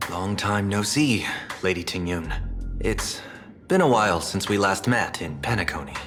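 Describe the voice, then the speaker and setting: A composed gentleman in a video game. His low, deep voice stays courteous and formal, calmly practical, over faint music. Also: moderate pace. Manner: calm, formal.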